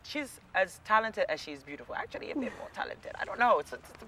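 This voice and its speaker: A woman's voice: mid-range voice, big pitch swings, fast, a loud crowd. A young woman hosting a casual outdoor interview show, upbeat and laughing often. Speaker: talk show host. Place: outdoors in a sunny garden by palm trees.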